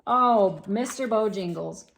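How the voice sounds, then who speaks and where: A woman reading a storybook aloud to children, talking to them directly in an expressive, exclaiming manner. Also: medium pitch, slow, gravelly. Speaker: children's read-aloud host.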